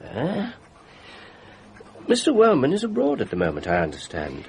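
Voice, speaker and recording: A man's voice: a little high, huge pitch swings, normal speed, creaky, a little mellow, slight background noise, full character voice. A man playing a courteous, precise family solicitor with a formal, deferential manner in a radio mystery drama. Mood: businesslike, courteous.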